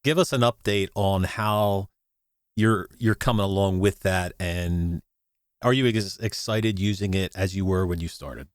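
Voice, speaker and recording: A male host runs a relaxed, informal interview in a fairly low voice. He sounds encouraging as he talks, and the recording is dry with little room sound. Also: a little slow, no room sound. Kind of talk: casual interview.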